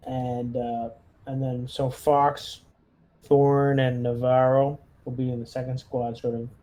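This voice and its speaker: A man's voice: mid-range voice, level tone, slow pace, dark tone. A man talking casually as he directs a squad in a tabletop roleplaying game. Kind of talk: casual game-session chat.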